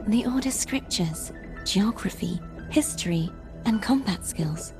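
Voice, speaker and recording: A woman playing a solemn young former warrior in a video game, speaking in a measured, formal way with some breathiness. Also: medium pitch, lively intonation, over music, lightly acted. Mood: calm, somber. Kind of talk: scripted video game dialogue.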